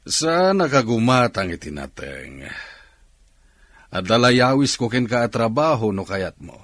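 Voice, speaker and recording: An actor with an Ilocano accent playing a confident middle-aged city factory boss in a radio drama, assured and persuasive. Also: male voice, mid-range voice, big pitch swings, unhurried, a bit bright, no echo, heavily acted. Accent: Filipino, Ilocano.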